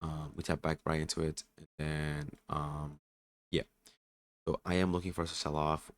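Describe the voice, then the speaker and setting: A man who is a bit sick and raspy, casually thinking aloud through a trading session with many fillers and restarts. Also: a bass voice, level tone, moderate pace, no room sound, clean studio sound. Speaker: day trader streaming his session. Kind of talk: casual market commentary.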